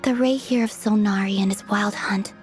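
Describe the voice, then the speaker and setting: An actress voicing a gentle, otherworldly young moon maiden in a video game, soft and breathy but quietly resolute. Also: female voice, medium pitch, steady tone, moderate pace, soft background music, natural voice. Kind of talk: video game cutscene voice acting.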